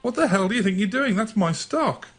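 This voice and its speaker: An exasperated shopkeeper played by a man in a comedy sketch. He is indignant and sarcastic, with very lively intonation and a brisk delivery. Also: high voice, soft background music.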